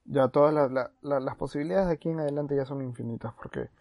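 A man co-hosting a podcast in a casual, halting way, with frequent false starts. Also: medium pitch, vocal fry, darkish tone, no echo, clean studio sound. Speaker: podcast co-host.

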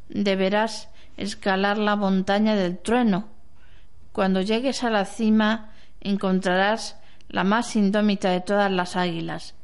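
Woman playing a slow, wise old grandmother. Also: mid-range voice, clean tone. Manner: slow, measured, instructing. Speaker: amateur radio drama actor. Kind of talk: amateur radio play acting.

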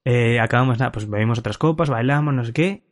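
A man's voice: medium pitch, fast. A man hosting a casual podcast, telling a drunken travel anecdote with frequent laughs and swearing. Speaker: podcast host. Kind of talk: casual chat, storytelling.

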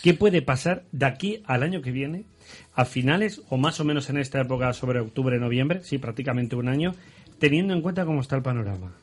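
A man from Spain leads a political discussion in a radio studio. He talks at a mid pitch and keeps things conversational, calling on each guest by name and slipping in teasing asides. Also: fairly quick. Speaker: radio talk show host. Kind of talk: moderating a political panel.

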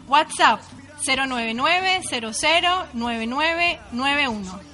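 A woman from Colombia, chatting casually as a radio co-host, bubbly and quick to laugh at herself. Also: high-pitched, animated, sharp tone. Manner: bubbly, laughs often, self-deprecating. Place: radio studio.

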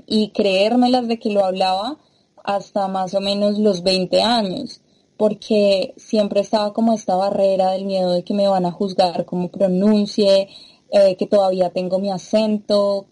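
A woman's voice: medium pitch, a little mellow, low-quality recording. A woman from Latin America, a guest in an interview, explaining her ideas in a thoughtful way with small fillers. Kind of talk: interview conversation.

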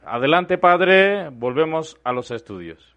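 A man with a Mexican accent hosting a radio program, welcoming listeners in a lively, announcer-like way. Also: a little high, takes it slowly, lo-fi sound. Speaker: radio host.

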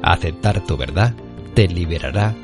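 A man reading a scripted podcast introduction in a slow, solemn way. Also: sits low, expressive, creaky, over music. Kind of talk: scripted narration.

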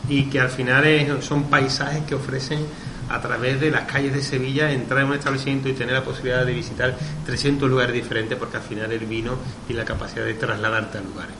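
A man's voice: medium pitch, flat delivery, quick pace. A man hosting a wine interview, friendly and encouraging, often restarting his sentences. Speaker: radio host. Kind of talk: radio interview about wine. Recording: roomy studio with background noise.

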